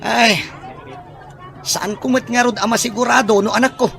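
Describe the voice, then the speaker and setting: A man's voice: very high-pitched, steady tone, normal speed, vocal fry, a crowd in the background. A man acting a blunt, argumentative, suspicious man who evades fatherhood in a radio drama. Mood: defiant.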